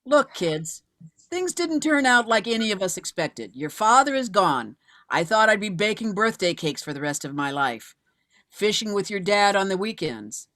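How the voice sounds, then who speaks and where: A woman plays a recently widowed mother who has had no rest and will not give up. Her pitch moves in a lively way as she goes from weary to firm, in the manner of a screenplay table read. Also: normal speed. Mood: earnest, resolute. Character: weary, resolute recently widowed mother turned private investigator.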